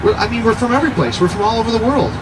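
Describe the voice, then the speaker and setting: A man's voice: very high-pitched, animated, rapid-fire. A man in his sixties giving a guided city tour to a group, chatty and jokey, often quizzing his listeners. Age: 60s. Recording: noisy outdoor recording with traffic.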